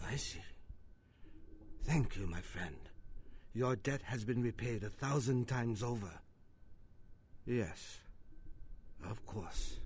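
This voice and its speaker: A man's voice: mid-range voice, slightly rough, exaggerated voice. A man voice-acting an anxious, formal middle-aged Chinese businessman and father in a video game, speaking with deferential courtesy. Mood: urgent. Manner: formal, deferential, measured speech.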